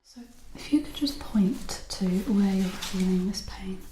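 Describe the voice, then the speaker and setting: Woman, whispery medical ASMR, mellow tone, gentle and reassuring, echoey room. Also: mid-range voice, measured pace. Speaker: clinician examining a patient in ASMR role play. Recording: echoey room with faint noise.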